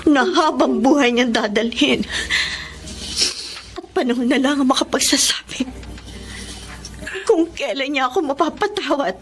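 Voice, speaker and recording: High, emotional wronged wife played by a woman. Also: unhurried, long pauses. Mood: tearful resolve. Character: long-suffering, wronged wife, bitterly resolute. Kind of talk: Filipino radio melodrama acting.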